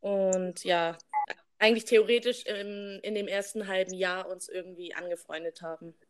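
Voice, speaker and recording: A woman's voice: mid-range voice, steady tone, moderate pace, clean tone. A woman in her early twenties co-hosting a podcast in a casual, unscripted chat, prompting her partner along. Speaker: podcast co-host.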